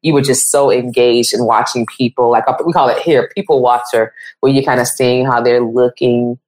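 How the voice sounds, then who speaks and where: A woman host with a very deep voice, asking her guest questions. She is encouraging and enthusiastic, and her reactions come across as upbeat. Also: fairly even intonation, normal speed, a little mellow, rough recording. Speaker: podcast host. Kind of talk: interview questions.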